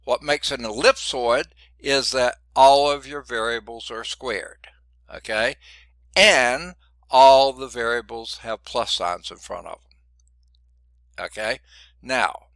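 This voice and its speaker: A man's voice: medium pitch, slow pace, fairly bright tone, no echo. A middle-aged man teaching a college math class, chatty and digressive, sounding congested and often checking in with "okay?". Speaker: math instructor. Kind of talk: classroom lecture.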